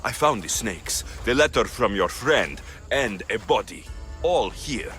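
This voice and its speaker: Low-voiced wry mercenary hero. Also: male voice, lively intonation, a little slow, fairly bright tone. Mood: stern. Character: young male Greek mercenary hero, dry-witted and steady. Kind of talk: video game dialogue acting. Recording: music under the voice.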